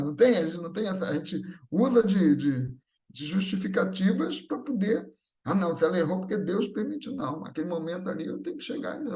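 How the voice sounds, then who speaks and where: A Brazilian man with a fairly high voice speaks at a religious gathering. He talks informally and conversationally, illustrating his points with everyday anecdotes, voicing the people in them and often adding short tag questions. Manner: acts out everyday dialogue in anecdotes.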